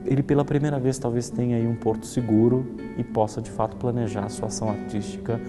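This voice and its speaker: A man's voice: medium pitch, level tone, quick pace, long reverb tail, music in the background. A man explaining a subject in an interview as an expert.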